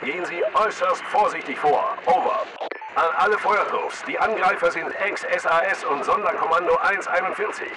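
A man's voice: high voice, moderate pace, background noise, close to an everyday voice. A man playing a clipped, authoritative military command-post radio operator in a video game. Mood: commanding, urgent.

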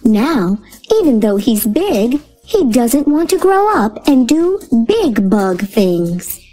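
A woman reading a children's picture book aloud in a slow, lilting storytelling voice. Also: a little high, very animated, mellow, faint music behind. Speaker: storybook reader. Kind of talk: children's read-aloud narration.

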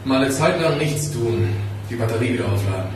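A man acting as a tired, dutiful repairman in a radio crime play. His mid-pitched voice comes from a little way off, in a very echoey space. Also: animated, brisk, slight background noise, natural voice. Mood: weary, matter-of-fact. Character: weary, dutiful male elevator repairman. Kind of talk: naturalistic German radio crime drama acting. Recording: very echoey shaft, distant, faint ambience.

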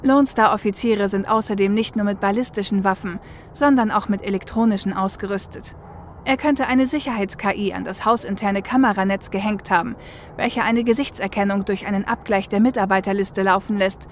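A woman's voice: medium pitch, steady tone, fairly quick, natural voice. A woman playing an analytical, level-headed shadowrunner and getaway driver in a fan audio drama. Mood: explaining. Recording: faint car and traffic noise.

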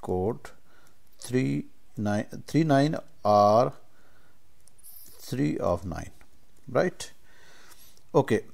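A man giving an informal step-by-step software tutorial as instructor, speaking haltingly and often checking in with short tags. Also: medium pitch, animated, gravelly. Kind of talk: informal screen-share tutorial, explaining step by step.

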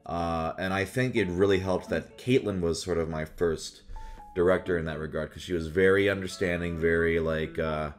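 Young adult male, low register, casual vlog host talking about his craft, animated delivery, soft music behind. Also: moderate pace. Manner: animated, slips into exaggerated character voices. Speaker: voice actor and video host. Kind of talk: casual chat to camera about voice roles. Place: small furnished room at home, indoors.

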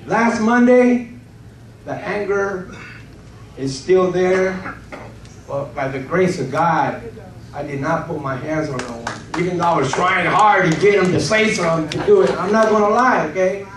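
A man giving a candid, earnest personal testimony to a congregation, speaking haltingly. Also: sits high. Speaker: program member giving testimony. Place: church hall. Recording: echoey room from far off with noise.

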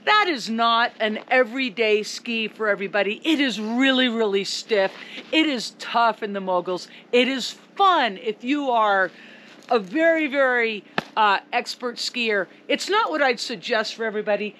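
Loud upbeat woman ski coach, kinda high voice, talking outside in the snow. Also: animated, normal speed, a little hiss or hum. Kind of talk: outdoor ski instruction to camera. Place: outdoors in snow by a ski rack. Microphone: camera a few meters away.

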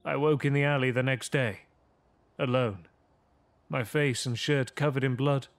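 A man's voice: medium pitch, fairly even intonation, a little slow, lightly acted. A man playing a frightened young man who recounts his horror in theatrical, gothic first-person narration. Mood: grave, haunted. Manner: grave, theatrical storytelling.

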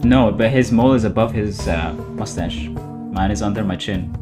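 A young man hosts a casual group chat in a giggly, slangy way. He repeats his phrases for emphasis, and music plays softly behind him. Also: on the low side, moderate pace. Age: young adult. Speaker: host. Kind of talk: casual joking group chat, reacting to pictures. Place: small room at home, indoors. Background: background music.

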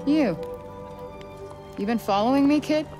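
An actress playing a brash, sardonic young adventurer in a video game, with a casual, slangy, sarcastic way of talking. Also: female voice, sits high, unhurried. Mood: suspicious. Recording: music behind the voice.

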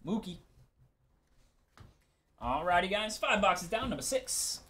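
A man's voice: high-pitched, expressive, slow, sharp tone. A man hosting a live sports card break, giving casual, laid-back commentary in short clipped call-outs.

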